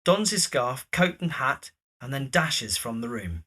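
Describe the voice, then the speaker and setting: Audio drama narrator, male: mid-pitched, bright, scripted storytelling delivery. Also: big pitch swings, measured pace, slightly rough.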